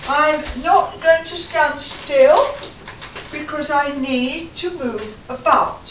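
A woman's voice: high voice, slow pace. An older woman chatting casually as she walks through each step of making breakfast at home, humming along as she goes. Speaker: home vlogger. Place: home kitchen, indoors. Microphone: far camera on a tripod. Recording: echoey kitchen from far off, dull and noisy.

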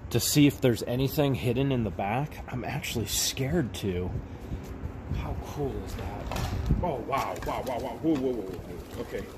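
A man's voice: mid-range voice, background noise. A man hosting a casual unboxing vlog, exclaiming and repeating himself as he talks directly to viewers. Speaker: vlog host.

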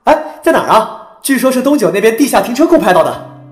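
A woman's voice: a little high, sing-song, fast talker. A woman playing a sceptical, dismissive young former classmate in an audiobook drama. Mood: curious. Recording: echoey effect over faint music.

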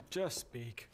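An American actor playing a cocky, laid-back, wisecracking demon hunter in a video game cutscene. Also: male voice, background noise. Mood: irritated.

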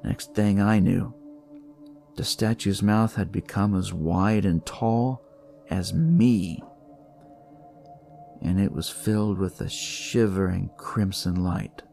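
A man narrating dramatic noir horror in the first person, with a deliberate, brooding delivery. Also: deep voice, gravelly, dark tone. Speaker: storyteller reading in first person as a detective. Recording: music behind the voice.

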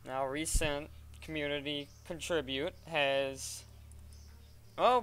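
A man casually talking through a software tutorial step by step in an informal way. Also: mid-range voice, clear, resonant tone. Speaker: tutorial presenter. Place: voice-over at a computer.

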